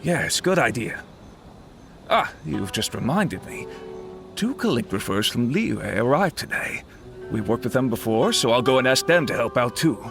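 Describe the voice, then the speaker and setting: A calm male editor who leads his team. His intonation rises and falls freely. He gives directions in an even, practical way. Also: medium pitch, moderate pace, creaky, soft background music. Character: adult male publishing editor, steady leader. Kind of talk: video game voice acting.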